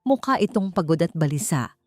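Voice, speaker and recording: A woman reading a scripted, dramatic suspense love story in short, measured phrases. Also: on the low side, sing-song. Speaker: narrator of a story channel. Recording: clear music bed behind the voice. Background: background music.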